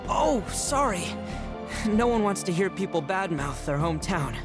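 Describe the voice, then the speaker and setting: Game casting: actress as considerate, pensive young woman, medium-pitched, polite, clear music underneath. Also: big pitch swings, moderate pace, close to an everyday voice. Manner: musing, polite. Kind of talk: video game cutscene acting. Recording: music behind the voice.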